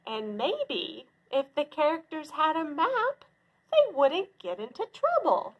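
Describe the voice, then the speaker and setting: A woman's voice: sits high, sing-song, slow, dull audio. A woman presenting as a children's librarian, explaining things to young viewers in simple steps. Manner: simple, clearly phased step-by-step explaining. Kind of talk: instructional talk for children.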